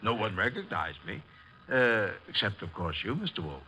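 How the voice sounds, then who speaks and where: A man's voice: fairly low pitch, big pitch swings, moderate pace, bright, forceful tone, slightly rough, full character voice, poor audio. A man playing a genteel, self-pleased older uncle in a 1950s radio drama, with a theatrical manner. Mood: pleased, grateful.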